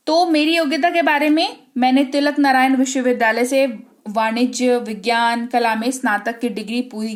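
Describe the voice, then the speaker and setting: Young Indian woman teacher, fairly high voice, deliberate and emphatic. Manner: slow, emphatic, checks understanding often. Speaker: English teacher. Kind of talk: spoken English lesson.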